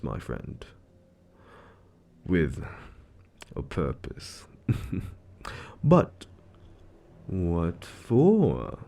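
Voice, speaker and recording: Suave, menacing male devil, audio drama. Low register with big swoops, theatrical, smugly condescending mock courtesy. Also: gravelly, mellow, full character voice. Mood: smug taunting. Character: suave, smug male devil, menacing soul-contract broker in Hell. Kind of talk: scripted audio roleplay monologue.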